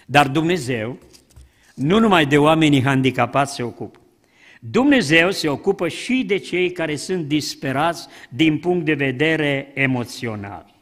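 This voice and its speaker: An older man preaches from the pulpit, his voice pitched high for a man. He speaks in an animated way, and the church hall carries a long reverb behind him. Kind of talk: sermon. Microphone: pulpit mic.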